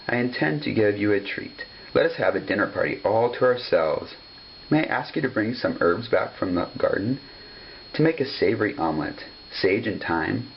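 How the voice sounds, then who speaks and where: A man reading a storybook, voicing a suave, sly gentleman fox with polite, formal, coaxing speech, on a dull home recording. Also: fairly low pitch, creaky, slight background noise. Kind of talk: storybook character voice.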